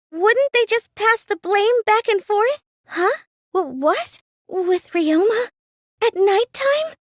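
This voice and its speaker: A very high, girlish voice for a plain and nerdy teenage girl in an anime mystery game. She sounds meek and mild, with a hesitant, questioning delivery. The sound is close and dry, with no room around it. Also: no room sound, full character voice. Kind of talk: anime video game English dub acting.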